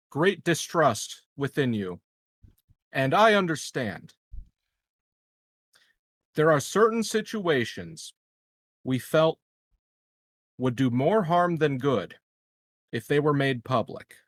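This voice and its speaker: A man playing a solemn, evasive colony president, improvising a formal, halting address to his citizens in a tabletop game. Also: a little high, sing-song, a bit bright, no echo, studio quality, full character voice. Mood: solemn, guarded. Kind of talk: improvised in-character speech.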